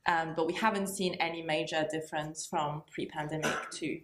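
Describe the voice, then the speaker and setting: A woman's voice: deep voice, steady tone, moderate pace, fairly bright tone, a little hiss or hum. A woman in her thirties speaking informally as a film programmer on a panel. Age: 30s. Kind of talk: panel discussion, informal report. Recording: echoey room.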